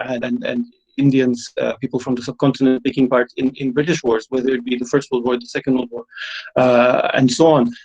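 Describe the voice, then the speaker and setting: A man's voice: medium pitch, a little mellow, lo-fi sound. A man who grew up speaking Russian gives an online academic lecture, hesitant, with many fillers and repeated words. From Belarus. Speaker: guest lecturer.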